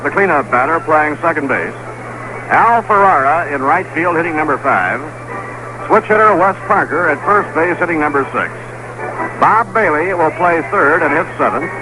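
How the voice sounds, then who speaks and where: A man announcing a baseball game on the radio, reading the lineups in a measured, even cadence. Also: fairly high pitch. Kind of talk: play-by-play commentary. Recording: noisy 1960s radio broadcast recording.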